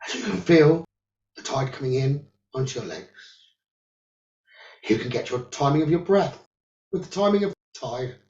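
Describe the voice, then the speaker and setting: A man leading a guided meditation as a teacher, giving gentle instructions in short phrases with long pauses. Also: a little high, animated. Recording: roomy echo, dull lo-fi sound.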